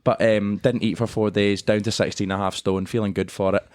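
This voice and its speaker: A man's voice: fairly low pitch, level tone, brisk, darkish tone. A young man co-hosting a podcast, joking crudely and laughing through casual banter. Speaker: podcast co-host. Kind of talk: casual chat.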